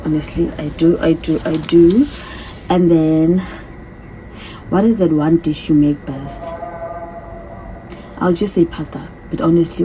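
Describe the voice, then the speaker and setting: Low-voiced woman in her thirties, chatty. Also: warm tone, a little hiss or hum, rough recording. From from a different continent. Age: 30s. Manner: chatty, repeats herself, reads questions aloud. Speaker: vlogger. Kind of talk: casual Q&A vlog. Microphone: phone.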